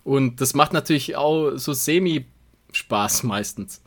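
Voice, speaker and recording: A man's voice: medium pitch, slow, a bit bright. A man co-hosting a casual podcast chat, speaking in a hesitant, jokey way. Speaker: podcast co-host.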